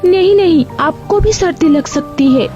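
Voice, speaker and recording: A woman voicing a shy, polite young female office worker in an animated story, hesitant and deferential, over music. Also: very high voice, a little slow, highs cut off, voice pushed up. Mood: polite, insistent. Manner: hesitant, deferential, stammering at times. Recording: music behind the voice.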